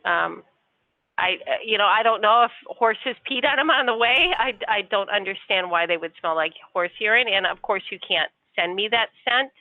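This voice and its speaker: A woman's voice: medium pitch, normal speed, no echo. Polite woman on a tinny phone line. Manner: polite, hesitant, patient. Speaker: customer service agent.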